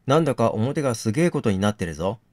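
Guy doing a blunt best buddy in a game, rough casual talk, lively pitch, dry sound. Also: fast, dry recording, close to an everyday voice. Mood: urgent. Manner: rough casual male speech. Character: blunt, brotherly male best friend. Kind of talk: game dialogue reading.

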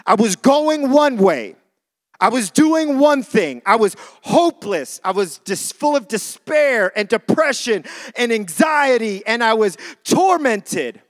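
High-pitched male preacher. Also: big pitch swings, slow pace. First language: not English (says English is not his first language). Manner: informal and conversational, repeats phrases for emphasis. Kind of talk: church sermon.

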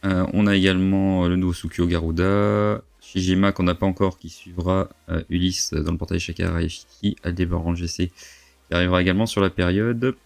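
Deep-voiced congested Frenchman, music behind. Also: fairly even intonation, brisk, vocal fry, no echo. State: congested with a cold. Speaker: gaming video host. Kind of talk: casual game commentary. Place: indoor home streaming setup. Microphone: large desk microphone, close.

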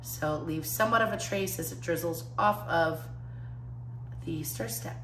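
A woman with a cold, a little hoarse, chatting casually through a fluid art painting tutorial with many fillers. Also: lowish voice, normal speed, fairly bright tone, reverberant, a little hiss or hum, low-quality recording.

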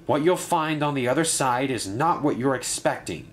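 A man's voice: medium pitch, expressive, normal speed, voice pushed up, lightly acted. A man voicing a nervous male runaway diesel engine that stammers and pleads. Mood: pleading.

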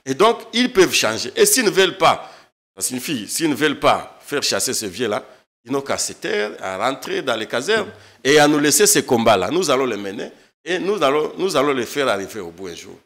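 A man's voice: mid-range voice, big pitch swings, a little fast, fairly bright tone. A man in his thirties from eastern Congo, a lawyer speaking as a studio guest, emphatic and impassioned, often repeating words. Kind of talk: studio political interview. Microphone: desk microphone.

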